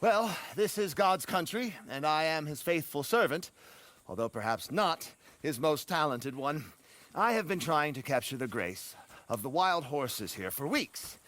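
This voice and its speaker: A man's voice: fairly high pitch, animated, a little slow, a bit bright. A man playing a genteel, self-deprecating nature photographer in a video game, polite and wordy. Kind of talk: video game cutscene acting.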